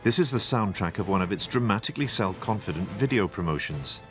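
A man reporting the news, reading measured, formal narration and putting questions to interviewees. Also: fairly low pitch, animated, fairly bright tone, faint music behind.

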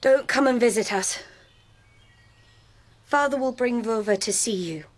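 A woman's voice: on the high side, measured pace, dry recording. An actress playing a young wife and mother, tearful and reproachful toward her unfaithful husband in a radio play. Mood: bitter.

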